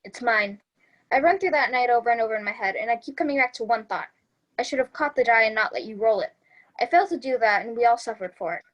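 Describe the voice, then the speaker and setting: A woman's voice: fairly high pitch, quick pace, lo-fi sound, natural voice. A woman reading the part of an earnest, odd young man turned would-be villain in an amateur sitcom table read. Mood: grave.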